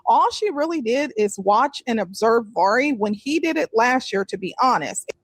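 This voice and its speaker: Woman narrator for scripted crime commentary, voice on the high side, very little room sound. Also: animated, normal speed, husky, dry recording.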